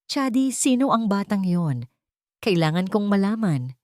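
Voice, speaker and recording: A woman's voice: mid-range voice, very animated, a little slow, a little mellow, dry recording, studio quality. Woman acting an insistent, indignant rich man. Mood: demanding. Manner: insistent, intense. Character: demanding, bewildered rich young man, former lover. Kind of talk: dramatic audio story acting.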